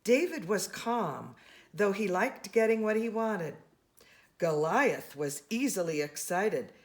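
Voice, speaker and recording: A woman reading a children's picture book aloud, expressively and with deliberate emphasis on key words. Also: medium pitch, expressive. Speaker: storybook reader.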